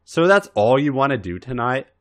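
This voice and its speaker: A man voicing an older wrestler, calm, dry and insistently teasing, in an audio fan story. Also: medium pitch, normal speed, pitched above the performer's own voice, natural voice.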